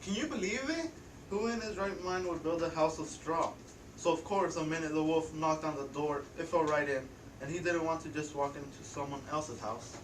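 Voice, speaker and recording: Higher-voiced teenage boy narrating, echoey room. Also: normal speed. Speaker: student narrator. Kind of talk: theatrical storytelling on stage. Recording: echoey room, distant mic, faint noise.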